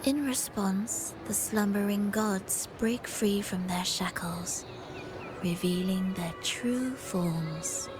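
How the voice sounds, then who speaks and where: An elegant, aloof villainess from a video game, acted by a woman. She speaks slowly in a soft, breathy voice, theatrical and coolly teasing, with loud music underneath. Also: mid-range voice, close to an everyday voice. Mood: cool, ominous.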